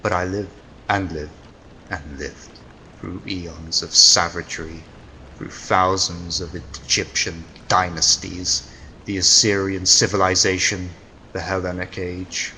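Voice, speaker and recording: An actor playing a million-year-old immortal Neanderthal villain, theatrical and grandiose, in old-time radio drama style. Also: male voice, sits low, level tone, slow, pushed and bright, a little hiss or hum, exaggerated voice, poor audio. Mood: brooding recollection. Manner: theatrical, grandiose, menacing declamation.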